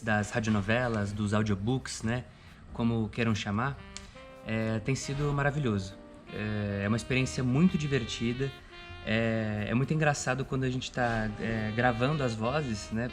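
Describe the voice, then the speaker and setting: Brazilian man with faint music behind. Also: mid-range voice. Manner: frequent "né" tags. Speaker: cultural producer and co-writer. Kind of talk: casual making-of interview. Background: background music.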